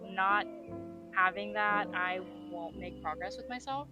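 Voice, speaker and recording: A woman's voice: mid-range voice, measured pace, lo-fi sound. Frank woman chatting over music. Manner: relaxed, frank, swears casually. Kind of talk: casual chat. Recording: music clearly behind the voice.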